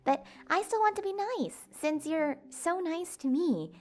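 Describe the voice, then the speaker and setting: A woman playing a kind, awkward young human in an audio drama, hesitant and casual but expressive. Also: very high voice, a little slow, soft background music. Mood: earnest, kind. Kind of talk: audio drama character acting.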